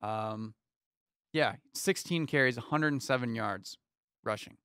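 Smooth-voiced young man co-hosting sports talk. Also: medium pitch, measured pace, dry recording, clean studio sound. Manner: runs through scores in a steady rundown, many ums. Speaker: sports talk show co-host. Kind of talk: casual sports chat. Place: small studio with foam panels. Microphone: studio mic on arm, headphones.

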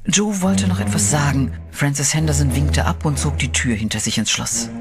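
A man narrating a horror audio drama in a measured, dark storytelling manner. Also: fairly high pitch, lively intonation. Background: music.

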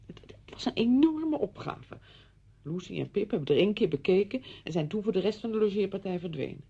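A woman's voice: mid-range voice, animated, a little fast, creaky, warm tone, husky, lo-fi sound. An actress playing a chatty, apologetic married woman visiting a friend in a radio drama, effusive, rambling and flustered.

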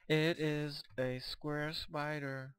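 A man with a hoarse, fading voice, chatting casually while playing a co-op game, often hesitant and stumbling over his words. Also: on the high side, a bit bright, no room sound. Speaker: co-op game player. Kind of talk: casual gameplay chat.

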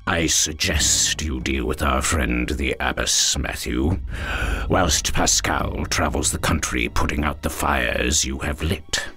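An actor playing a ruthless, scheming lord in a video game cutscene, speaking in a persuasive, commanding way. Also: male voice, extremely low pitch, takes it slowly, pushed and bright, slightly rough, soft background music, exaggerated voice.

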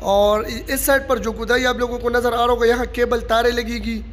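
Man, very high voice, monotone, phone-recorded site tour, reverb. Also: fairly quick. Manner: steady informal commentary, repeats "you can see". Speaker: vlogger giving site updates. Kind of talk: walking tour narration. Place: outdoors at a stadium construction site. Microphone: handheld phone camera. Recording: long reverb, faint site noise.

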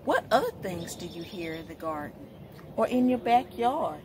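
A middle-aged woman reading a picture book aloud to young children, expressive and asking questions as she goes. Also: lowish voice, normal speed, a little hiss or hum. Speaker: storytime host, literacy educator. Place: outdoors, in front of leafy shrubs.

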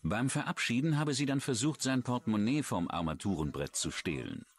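A man's voice: mid-range voice, expressive, brisk. A man reading scripted true-crime narration as a documentary narrator.

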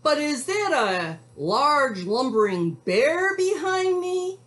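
A woman's voice: fairly high pitch, very animated, slow pace, fairly bright tone, pitched above the performer's own voice, exaggerated voice. A woman voicing an easily frightened storybook cowboy in an exclamatory, questioning way.

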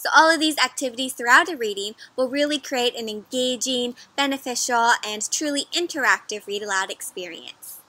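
High, bright young woman reading to children. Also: brisk, few pauses, dry recording, rough recording. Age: young adult. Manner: expressive, asks the child listener questions. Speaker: children's read-aloud host. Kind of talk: interactive storybook read-aloud for children. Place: outdoors in a garden or patio.

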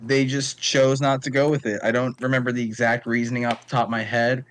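Male podcast guest, smooth resonant mid-range voice, measured and explanatory, informal. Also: mid-range voice, level tone. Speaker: podcast participant. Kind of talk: casual chat.

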